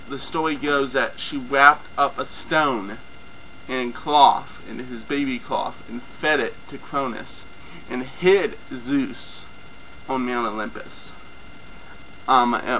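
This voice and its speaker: A young man casually explaining a topic off the cuff, with a lot of ums and self-corrections, his voice a little hoarse from a cold. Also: medium pitch, bright, forceful tone, low-quality recording. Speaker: mythology video host. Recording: faint mains hum.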